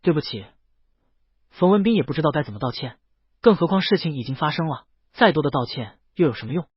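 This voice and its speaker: A man narrates a story in a fairly high, husky voice. His delivery rises and falls with lively intonation as he reads from a script. The recording is dry and somewhat muffled. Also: no echo. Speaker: audiobook narrator.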